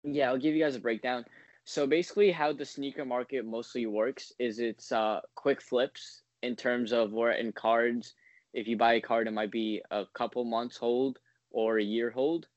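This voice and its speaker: A man's voice: medium pitch, steady tone, moderate pace, no room sound, dull audio. A man in his twenties, a guest in a casual interview, talking in a rambling way full of ums and likes. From United States. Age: 20s.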